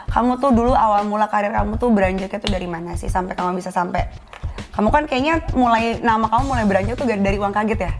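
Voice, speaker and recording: A woman hosts a relaxed interview chat, firing off questions at a quick clip and nudging her guest along with eager follow-ups. Music plays clearly underneath her voice. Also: medium pitch. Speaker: talk show host. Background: background music.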